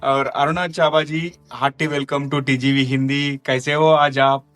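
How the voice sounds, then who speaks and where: A man's voice: mid-range voice, little pitch movement, takes it slowly, fairly bright tone, faint music behind. Effusive male podcast host. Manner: effusive, respectful, addresses the guest formally. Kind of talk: interview hosting.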